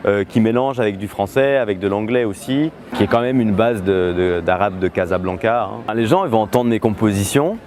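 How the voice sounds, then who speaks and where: A young man, answering interview questions about his music in a relaxed, hesitant way with many filler pauses. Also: lowish voice. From Switzerland. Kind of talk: casual interview talk.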